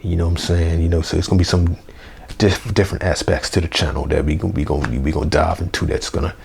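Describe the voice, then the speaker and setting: A young man, hoarse, rambling through a casual unedited review vlog with lots of fillers and slang. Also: sits low, fairly even intonation, quick pace, darkish tone, a little hiss or hum. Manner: rambling, full of fillers like "you know" and "man". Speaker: wrestling review vlogger. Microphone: basic mic, plans a new one.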